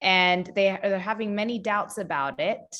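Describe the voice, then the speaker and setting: A woman's voice: medium pitch, unhurried, a bit bright, highs cut off. A young woman, a medical resident, asking a question in a friendly, somewhat hesitant way.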